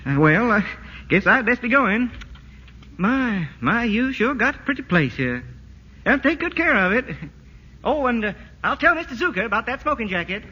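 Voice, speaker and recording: A slow, folksy country tradesman in a 1940s radio play. His voice is high, bright and a little husky, and he talks in halting, hesitant bits. The broadcast sounds dull and echoey. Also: big pitch swings, full character voice. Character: plainspoken honest rural male tradesman. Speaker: tradesman collecting a bill.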